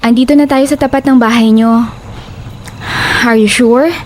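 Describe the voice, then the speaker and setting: A woman playing a chatty, blunt young best friend in an audio drama, teasing and sharp-tongued. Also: fairly high pitch, steady tone, moderate pace, faint music behind, close to an everyday voice. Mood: breezy, caring.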